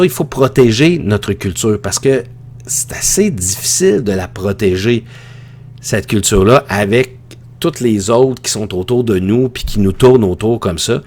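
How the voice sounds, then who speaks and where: A man with a Quebec French accent teaching an informal online lecture in a conversational, opinionated way that often digresses. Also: medium pitch. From Quebec, Canada. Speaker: high school teacher.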